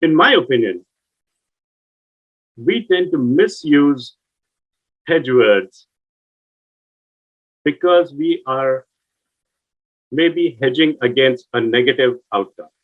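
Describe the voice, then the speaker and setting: A man from India with an Indian accent, an executive giving a rehearsed practice speech with long, deliberate pauses. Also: medium pitch, animated, phone-quality sound.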